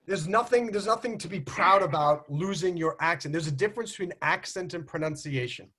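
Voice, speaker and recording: An American man with a notably high, bright voice hosts a casual group chat over a video call. He talks in an animated, teasing way, slips into mock accents, and laughs easily. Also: a little fast, husky. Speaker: host.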